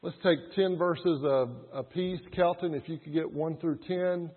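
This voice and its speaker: A man's voice: a little high, steady tone, unhurried. A man leading a Bible study class, calling on readers and prompting the group with questions, often restarting his sentences. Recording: tinny lo-fi sound with hall reverb.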